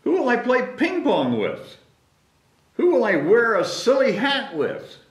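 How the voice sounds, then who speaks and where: Dramatic, clingy storybook elephant played by a man, pleading repetitively, high and wildly swooping. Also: huge pitch swings, a little slow, full character voice. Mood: panicked pleading. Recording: roomy sound with background noise.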